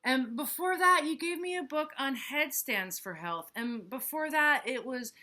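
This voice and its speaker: A woman's voice: sits high, animated, measured pace, bright, forceful tone, voice pushed up, exaggerated voice. A woman voicing a sleepy, reluctant female goose in a storybook, mild and drowsy.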